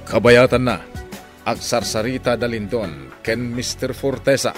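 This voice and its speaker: A man's voice: mid-range voice, measured pace. A man with an Ilocano accent narrating a radio drama in a dramatic scripted style. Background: music.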